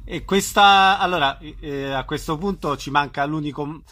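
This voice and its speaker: A man's voice: fairly high pitch, moderate pace, bright, forceful tone. A man hosting a casual, conversational radio interview.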